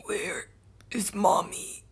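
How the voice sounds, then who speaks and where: A woman playing a tormented male hospital patient in a horror manga dub, breathy and whispery, with a brooding, dramatic delivery. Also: medium pitch, steady tone, takes it slowly, full character voice. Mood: frantic, delusional.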